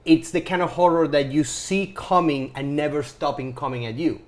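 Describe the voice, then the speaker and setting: Guy on a film podcast, voice a bit high, casual, says things twice for emphasis. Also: steady tone, normal speed. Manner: repeats phrases for emphasis. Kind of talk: casual chat.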